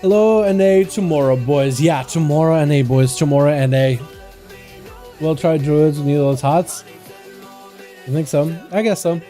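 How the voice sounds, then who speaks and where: A young man from Russia with a high yet mellow voice, loosely calling video game duels on a livestream and chatting to viewers as "boys." Faint music plays underneath. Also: a little slow. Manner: chatty, calls out to chat as "boys". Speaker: livestream host and duel caster. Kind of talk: casual game commentary.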